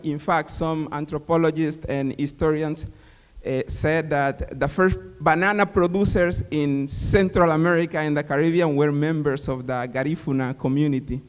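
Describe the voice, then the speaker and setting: A man from Honduras, giving a hesitant panel talk as a city official, with many fillers. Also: a little high. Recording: reverberant hall.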